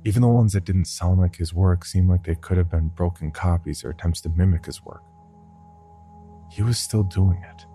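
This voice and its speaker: A man reads a first-person horror tale in a deep voice with a heavy gravelly fry. The tone is very dark and mellow, and faint music sits behind him. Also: normal speed. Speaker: horror podcast narrator. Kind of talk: scripted first-person horror story narration.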